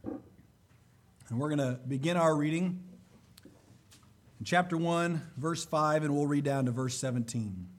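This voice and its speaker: A man preaching a sermon and reading scripture, slightly hoarse, with a deliberate, emphatic delivery. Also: a little high.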